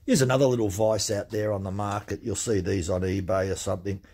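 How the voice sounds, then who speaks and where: Husky man at a workbench. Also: on the low side, fairly even intonation, a little fast, a little mellow. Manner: plain-spoken and practical, with many fillers and restarts. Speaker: chainsaw workshop demonstrator. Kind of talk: casual hands-on tool demonstration. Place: indoor workshop at a cluttered workbench. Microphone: camera mic filming his hands up close.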